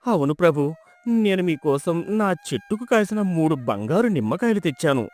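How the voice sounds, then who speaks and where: Male actor as humble village man, timid, earnest, deferential, animated pitch, folk-tale drama, music underneath. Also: normal speed. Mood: fearful, pleading. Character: humble, timid, honest male villager pleading before king.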